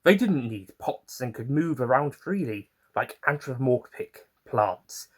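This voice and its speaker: A man's voice: medium pitch, big pitch swings, unhurried, slightly rough. A man playing an eccentric, chatty time-traveller who narrates his own adventures in an audio story with theatrical, fond asides. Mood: storytelling.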